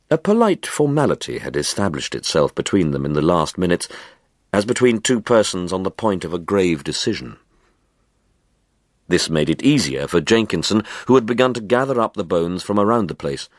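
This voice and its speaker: A man reading a short story as dramatic scripted narration, in a measured, deliberate manner. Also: deep voice, dry recording, clean studio sound. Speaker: story reader.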